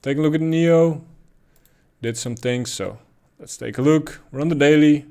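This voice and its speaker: A man's voice: fairly high pitch, moderate pace, clean tone. Dutch-accented man talking over charts. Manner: relaxed, thinking aloud with many ums and uhs. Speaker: crypto chart analyst. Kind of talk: casual market commentary over charts.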